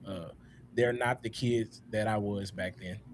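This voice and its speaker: A man in his thirties hosting a casual panel talk, speaking in a reflective, unhurried way with many "uh"s and a loud voice. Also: on the low side, a little hiss or hum. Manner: relaxed, reflective, frequent "uh" and "all right?". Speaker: podcast host.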